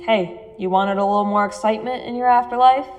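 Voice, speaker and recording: A woman playing a calm middle-aged ghost mother in an audio drama, measured, explanatory and dry. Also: medium pitch, a little hiss or hum, natural voice. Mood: drily reassuring.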